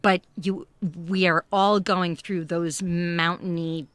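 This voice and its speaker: A woman giving animated, emphatic, encouraging advice in conversation, often acting out little bits of quoted dialogue. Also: fairly low pitch, slow, clean tone, no room sound. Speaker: podcast guest, expat living in Spain.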